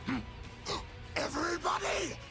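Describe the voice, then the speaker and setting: An actor playing a gleefully menacing, unhinged demonic party clown for a video game, theatrically swinging between cackles and growls. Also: male voice, very high-pitched, measured pace, creaky, over loud music, full character voice. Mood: manic glee. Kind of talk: video game character voice lines.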